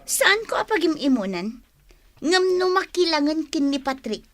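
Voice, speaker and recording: A haughty woman from the office in a radio drama. Her voice is high and very animated in pitch. She is curt, bossy and always ready to quarrel. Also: normal speed. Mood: defiant. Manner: curt, confrontational. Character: haughty, bossy, quarrelsome female office worker named Grace. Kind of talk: Philippine radio drama acting.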